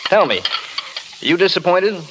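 Charming flippant movie idol, hard-drinking, teasing, very lively intonation, 1930s radio play, hissy crackle. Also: male voice, high-pitched, measured pace. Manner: wry, teasing, theatrical. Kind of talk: 1930s radio drama acting.

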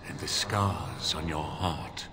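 An actor voicing an ancient, solemn armoured guardian of a holy relic in a fantasy video game, grave, formal and deliberate. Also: male voice, a bass voice. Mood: grave, probing. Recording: echoing hall reverb with ambient noise.

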